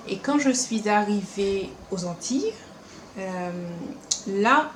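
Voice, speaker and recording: A young woman whose French carries a Caribbean lilt blended with Paris speech. She chats at a middle pitch, quick and animated with playful asides. The room is echoey and a faint hum sits in the background. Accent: French Caribbean, mixed with Paris French. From France, born in Paris, raised also in Martinique. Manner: chatty, animated, quick asides and acted-out quotes. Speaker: beauty vlogger. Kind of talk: casual chat to camera. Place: room at home.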